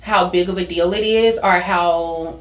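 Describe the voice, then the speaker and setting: A woman's voice: on the low side, fairly even intonation, a little slow. Young woman talking candidly in an echoey room. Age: 20s. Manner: candid, informal, slangy. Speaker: podcast guest, social media content creator. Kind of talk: casual conversational interview.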